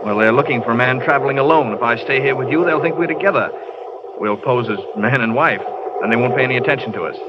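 A man's voice: medium pitch, fast talker, close to an everyday voice. An actor playing a charming young man on the run, urgent and wry, in a 1930s radio drama. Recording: lo-fi old radio broadcast with loud hiss.

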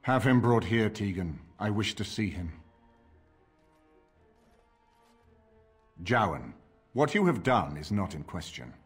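Deep, husky older nobleman. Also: very animated, soft background music, heavily acted. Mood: stern. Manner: formal, measured, commanding. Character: grave, dignified older bearded nobleman lord. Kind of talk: video game dramatic acting.

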